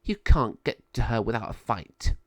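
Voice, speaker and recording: A man voicing a scheming villainous sorceress who taunts her sister with sneering, drawn-out words. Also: fairly low pitch, animated, fairly bright tone, dry recording, clean studio sound. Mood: menacing.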